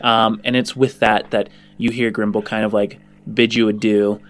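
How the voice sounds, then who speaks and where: Low-voiced man narrating a tabletop game. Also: flat delivery, smooth. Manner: halting, searching for words. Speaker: game master.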